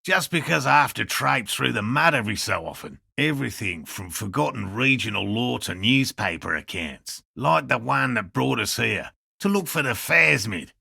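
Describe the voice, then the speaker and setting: Gravelly, earnest cryptozoologist. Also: male voice, mid-range voice, animated, normal speed, hardly any pauses, sharp tone, dry recording, clean studio sound, heavily acted. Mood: earnest, defensive. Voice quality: gravelly, husky. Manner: precise, bookish, formal wording. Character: earnest, fussy cryptozoologist, a proud outsider scientist. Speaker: video game character. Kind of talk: video game dialogue acting.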